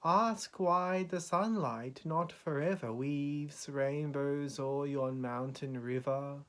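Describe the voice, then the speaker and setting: Male poetry reader, grave and dramatic, extremely unhurried, measured phrasing with weighty pauses. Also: fairly high pitch, fairly bright tone. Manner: solemn, measured delivery with deliberate pauses.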